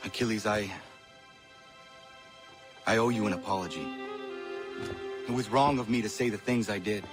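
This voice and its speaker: A low, solemn young idealist played by a man in a video game drama. He chooses formal, deliberate words and speaks with grave earnestness, with music playing underneath. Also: natural voice. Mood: apologetic. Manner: formal, deliberate phrasing without contractions. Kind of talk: dramatic game cutscene acting and narration.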